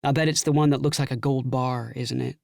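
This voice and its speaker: A man's voice: medium pitch, fairly even intonation, fairly quick, vocal fry, a little mellow, no echo, clean studio sound, natural voice. A man playing a jealous, sarcastic magician who hides his nerves behind theatrical quips in an audiobook drama. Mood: mocking. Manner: wry, theatrical, quippy delivery. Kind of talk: full-cast audiobook dialogue acting.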